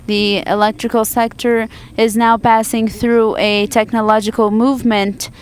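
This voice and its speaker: A woman interpreting a panel discussion live into English from a conference booth, halting often to restart and correct her words. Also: on the high side, little pitch movement, a little hiss or hum.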